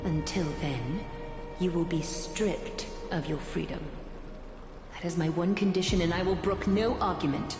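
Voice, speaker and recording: A woman's voice: lowish voice, unhurried, close to an everyday voice. A woman voicing a cold, commanding demigod in a fantasy game, stern, composed and formal. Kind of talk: scripted fantasy game voice acting. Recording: echoey hall reverb, music behind.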